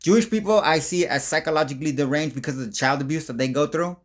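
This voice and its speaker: Guy ranting over clips, swears a lot, rambles, voice a bit high. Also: quick pace. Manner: rambling, profane and aggressive. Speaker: commentary host. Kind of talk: rambling video commentary.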